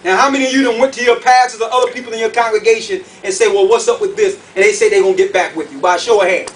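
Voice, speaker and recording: High-pitched man preaching, from afar. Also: steady tone, rapid-fire, recorded from a distance. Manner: colloquial, emphatic, repeats questions rhetorically. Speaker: preacher teaching a group. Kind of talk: animated sermon with questions to the audience. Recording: noisy room, picked up from afar.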